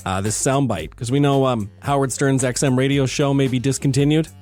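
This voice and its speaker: Guy doing casual radio banter, jokey and colloquial, average pitch. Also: steady tone, fairly quick, darkish tone, faint music behind. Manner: joking, colloquial. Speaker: radio morning show co-host. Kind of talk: casual on-air chat. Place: radio studio.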